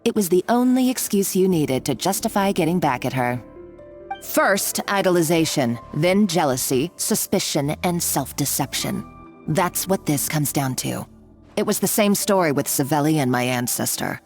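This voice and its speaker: A woman's voice: low voice, lively intonation, moderate pace, darkish tone, soft background music. An actress voicing a scheming, mocking woman villain in a video game, speaking in a bossy, condescending way. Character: scheming, taunting woman villain, cruel and gloating. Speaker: game character.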